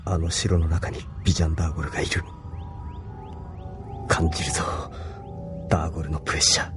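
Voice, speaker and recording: A man's voice: rumbling low, flat delivery, fairly quick. A man playing an earnest young resistance pilot in an anime audio drama, solemn and determined in delivery. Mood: grim, determined. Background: background music.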